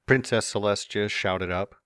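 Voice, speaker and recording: A man narrates a storybook tale in a very low, deep voice, slipping into a cocky, teasing tone for the hero's own lines. The sound is close and dry, with no room around it. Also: a little slow, a bit bright, clean tone, no echo. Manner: first-person narration with playful smug asides. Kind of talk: fan dramatic reading of a story.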